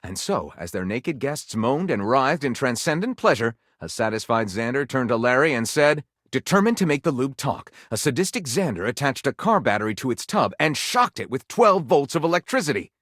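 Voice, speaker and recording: A man's voice: mid-range voice, very animated, moderate pace, few pauses, fairly bright tone, dry recording, clean studio sound. A man playing a theatrical, tongue-in-cheek narrator of pulpy detective tales in a video game, arch and savoring every dramatic turn.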